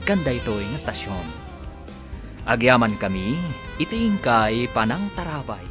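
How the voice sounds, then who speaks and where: A man's voice: mid-range voice, animated, measured pace. A man with an Ilocano accent narrating a radio drama in a dramatic announcer's delivery. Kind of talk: scripted narration. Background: music.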